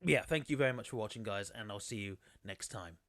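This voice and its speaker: A man's voice: on the low side, fairly quick, fairly bright tone, no room sound, clean studio sound. A man commentating a sim racing league on a live stream, chatty and rambling, losing his voice. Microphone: desktop microphone.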